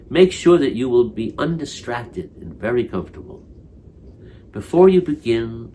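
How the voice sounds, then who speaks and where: A man with a fairly low voice reads a spiritual commentary aloud. His delivery is measured and reflective, taking each phrase with care. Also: slight background noise. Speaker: reader of Torah commentary. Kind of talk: reading a spiritual text aloud.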